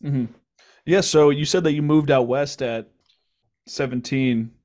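A man's voice: mid-range voice, a little slow, darkish tone. A man hosting a casual interview podcast, asking easygoing questions with loose restarts. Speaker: podcast host.

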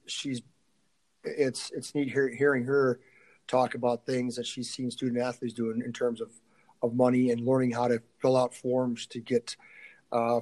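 A middle-aged man, a strength coach being interviewed, talking casually and haltingly, often thinking aloud and trailing off. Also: medium pitch, darkish tone.

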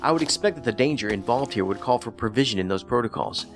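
An actor playing a skeptical federal investigator in a science fiction audio drama, with a dry, understated, sardonic delivery. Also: male voice, medium pitch, lively intonation, quick pace, faint music behind, natural voice.

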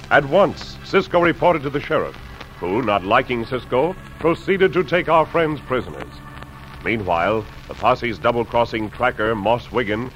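A man's voice: medium pitch, a bit bright. An American man announcing a radio adventure serial in a dramatic 1950s style. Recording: muffled old radio broadcast. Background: music.

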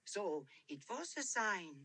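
An actress playing a timid, pious Swedish woman missionary who speaks halting, broken English with a Swedish accent. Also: medium pitch, sing-song, pushed and bright, slightly rough, exaggerated voice. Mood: hesitant.

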